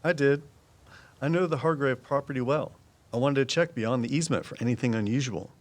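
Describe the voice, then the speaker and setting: An actor playing a guarded, knowledgeable woodsman in an audio drama, explaining things in a measured, folksy way. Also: mid-range voice, close to an everyday voice. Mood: reflective. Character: Walt, guarded, knowledgeable woodsman and conservation volunteer. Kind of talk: full-cast audio drama dialogue.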